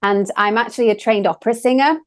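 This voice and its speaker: A woman's voice: a little high, fast talker. A woman in her forties with an English accent, talking earnestly and personally as a show's co-host. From England. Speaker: co-host, energy and sound healer. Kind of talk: personal, conversational talk.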